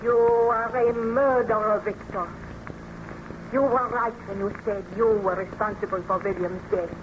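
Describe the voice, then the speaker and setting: A woman's voice: a little high, fairly even intonation, a little slow, close to an everyday voice. An actress playing a passionate, reproachful young woman in love with a baron, in a melodramatic old-time radio drama. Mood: bitter, accusing. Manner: melodramatic, formal declamation. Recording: dull, noisy old radio recording.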